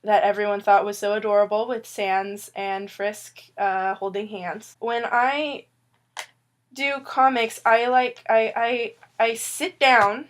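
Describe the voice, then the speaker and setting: A woman's voice: mid-range voice, steady tone, slow pace. A woman who is ill with a cough gives a casual, rambling tutorial on making comics, joking at herself and a bit loopy. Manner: loopy, rambling, self-mocking. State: sick with a cough. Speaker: webcomic artist sharing tips. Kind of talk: casual tutorial talk to viewers. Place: indoors at a wooden table.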